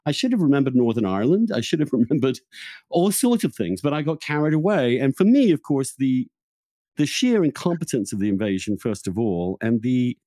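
A man hosting a reflective intellectual conversation, halting and searching for words, often repeating himself mid-phrase. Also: mid-range voice, very animated, dark tone, dry recording. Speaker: podcast host.

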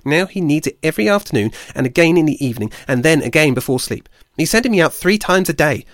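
A man's voice: a little high, lively intonation, dry recording, clean studio sound, pitched above the performer's own voice. Man voicing an exasperated young female squirrel. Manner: fast, exasperated complaining.

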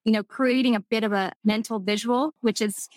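A woman's voice: fairly high pitch, fairly even intonation, normal speed, a little mellow, dry recording. A woman from Texas with a Texan accent, a guest on an interview podcast, speaking in a thoughtful, earnest way. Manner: thoughtful, earnest, some restarts. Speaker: guest, food manufacturing executive. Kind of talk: casual interview chat.

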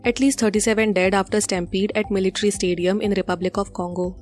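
A woman's voice: medium pitch, a little mellow. A woman with an Indian accent presenting a scripted news bulletin. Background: music.

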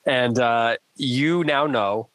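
A man's voice: mid-range voice, takes it slowly, a bit bright, poor audio. A man co-hosting a casual book discussion podcast, asking his co-host playful, teasing questions. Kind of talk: casual book-club chat.

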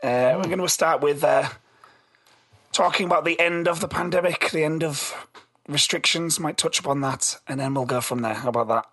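A man hosting a casual podcast chat in a rambling, halting way, his voice a little lost. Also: a little high, a bit bright. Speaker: podcast host.

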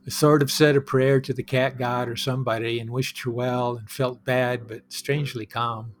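A man with a middle-pitched voice delivers a personal storytelling monologue as the host of his own show. He talks conversationally and reflectively, with a wry edge to his phrasing. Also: level tone, moderate pace, a little hiss or hum.

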